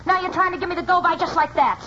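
A woman's voice: extremely high pitch, fast talker. An actress playing a hot-tempered, jilted nightclub showgirl with an American accent and slangy, scolding delivery in a 1940s radio drama. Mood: indignant. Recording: dull, noisy old radio recording.

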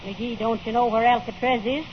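A woman's voice: on the high side, fairly even intonation, normal speed, close to an everyday voice. An actress playing a wry, sensible wife who teases her husband in an old radio comedy. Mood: wry, teasing. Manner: dry, teasing, chiding. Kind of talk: 1930s radio comedy acting. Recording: muffled old radio recording, faint hiss.

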